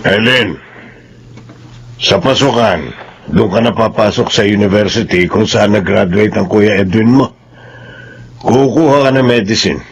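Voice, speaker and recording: A man's voice: lowish voice, unhurried, pauses often, a little hiss or hum. An actor in a Filipino radio drama playing a domineering, hot-tempered middle-aged father, stern and commanding.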